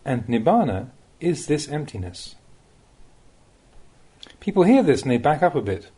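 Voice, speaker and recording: Expressive, mellow-voiced man reading Buddhist teachings. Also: a little high, measured pace, husky. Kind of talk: reading a recorded Dhamma talk aloud.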